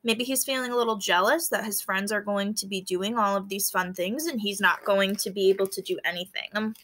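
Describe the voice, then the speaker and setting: A woman teacher reading a book aloud to fourth graders, pausing to explain and prompting them to notice and wonder. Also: medium pitch, steady tone, a bit bright. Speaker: elementary school teacher. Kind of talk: read-aloud lesson for fourth graders, explaining as she goes.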